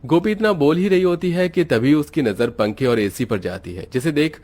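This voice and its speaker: A man's voice: a little high, expressive, moderate pace, a little mellow. A man giving scripted narration as the storyteller of a moral tale.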